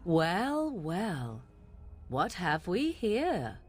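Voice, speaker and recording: An actress voicing a mysterious, sardonic young witch of the wilds in video game dialogue, speaking in a slow, mocking, teasing way. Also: on the low side, wildly swooping pitch, darkish tone, slight background noise, exaggerated voice.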